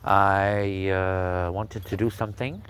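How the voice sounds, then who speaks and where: Low-voiced man. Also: steady tone, fairly bright tone, a little hiss or hum. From Kuwait. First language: Arabic. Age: 40s. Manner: halting, with frequent pauses. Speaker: speaker telling his life story. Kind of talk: personal testimony monologue.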